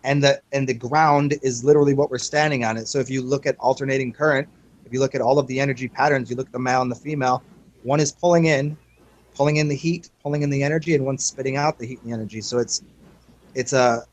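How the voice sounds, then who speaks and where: This is a man with a voice of ordinary, mid-range pitch. He talks earnestly in a casual group voice chat. He often repeats words as he works through his ideas. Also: fairly even intonation, fast. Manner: earnest, speculative, repeats words as he thinks aloud. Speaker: chat participant.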